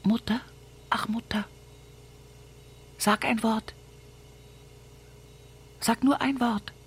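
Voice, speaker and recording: A woman's voice: on the high side, steady tone, very slow, exaggerated voice. An actress playing a brooding, forgetful rural woman, murmuring repetitive phrases in a poetic radio play. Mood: imploring. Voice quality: very husky, breathy.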